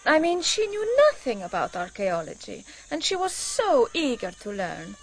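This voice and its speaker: A woman's voice: on the high side, huge pitch swings, normal speed, exaggerated voice, rough recording. A woman playing a gushing, evasive, nervy wife in a radio drama, with an insistent manner.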